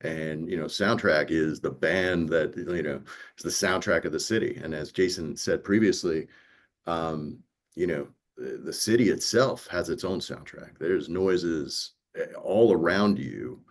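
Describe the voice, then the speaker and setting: A man's voice: low voice, a little slow. A man speaking as a producer in a conversational panel, explaining earnestly and checking in with listeners. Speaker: audiobook producer. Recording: dull, lo-fi audio.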